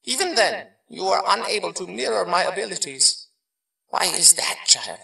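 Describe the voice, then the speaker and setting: An actor playing a wise old martial arts master spirit in an audio drama, speaking in a measured, formal, teaching manner. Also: male voice, high voice, very animated, creaky, sharp tone, roomy sound, recorded from a distance, heavily acted, rough recording. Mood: firm, instructive.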